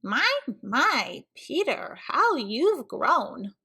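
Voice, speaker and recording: Woman putting on a shaky, croaky old grandpa. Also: sits high, sing-song, drawn-out words, bright, forceful tone, voice pushed up, exaggerated voice. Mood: playfully doddering.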